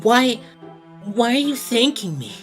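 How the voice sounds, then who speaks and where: A woman's voice: fairly high pitch, big pitch swings, unhurried, soft background music. A woman playing a small, moody, proud teenage schoolboy in an audio drama, brusque and defensive. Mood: flustered, defensive.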